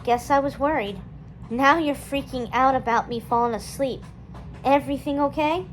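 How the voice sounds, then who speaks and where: A woman's voice: high voice, normal speed, slight background noise, close to an everyday voice. A woman voicing an upbeat, playful, adventurous girl in a children's storybook read-aloud. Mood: teasing concern.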